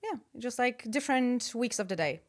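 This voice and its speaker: A woman's voice: a little high, normal speed. Chatty young Polish-native woman. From Poland. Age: young adult. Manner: chatty, lively, frequent fillers like "like". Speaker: guest. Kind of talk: casual interview chat.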